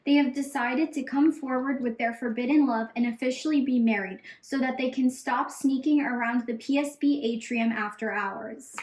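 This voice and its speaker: High-voiced woman narrating a skit. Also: moderate pace, hardly any pauses, a little mellow. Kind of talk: scripted narration. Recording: echoey room.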